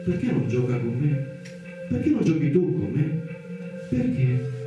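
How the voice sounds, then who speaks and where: An actor playing a curious, sad little child in a radio play, asking insistent, repetitive questions. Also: male voice, mid-range voice, sing-song, measured pace, highs cut off. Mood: curious, plaintive. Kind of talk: theatrical radio monologue. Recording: distant, very echoey radio theatre with music.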